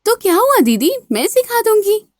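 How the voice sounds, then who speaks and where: An actress as an eager young neighbour woman in a cartoon. Her high voice bounces up and down with helpful encouragement. Faint music plays behind. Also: sing-song, moderate pace. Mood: reassuring. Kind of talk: Hindi moral-story cartoon dialogue.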